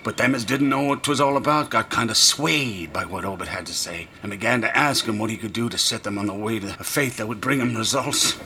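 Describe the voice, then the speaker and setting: A half-mad old New sailor in a radio drama. His voice is low-pitched and piercingly sharp, full of grammar, secrecy and fear. A faint ambience sits behind him. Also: a little fast, few pauses, slight background noise. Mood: bitter, sly. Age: older. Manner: folksy, dropped grammar, dramatic whispers rising to shrieks. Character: rambling, half-crazed old drunken sailor, secretive and fearful.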